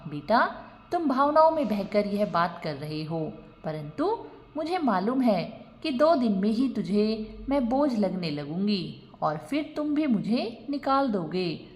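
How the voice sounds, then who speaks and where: A woman's voice: medium pitch, sing-song, measured pace, darkish tone. A woman voicing a resigned, devout, abandoned old mother in a storybook tale, plaintive and wary. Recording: long reverb on the voice.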